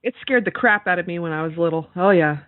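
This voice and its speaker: A woman's voice: lowish voice, fast talker. A woman co-hosting a podcast interview in casual chat, readily agreeing and responding to her guest. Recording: muffled phone-like call audio.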